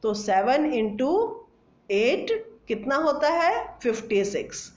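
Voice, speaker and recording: A woman's voice: high-pitched, very animated, takes it slowly, a little mellow. A woman telling a bedtime story to children in an instructive, questioning manner. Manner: instructive, asks listeners questions. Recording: echoey room, slightly dull sound.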